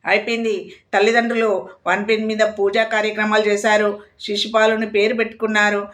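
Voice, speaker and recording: A woman's voice: medium pitch, flat delivery, brisk, fairly bright tone. A woman storyteller telling a moral tale expressively, speaking directly to her listeners.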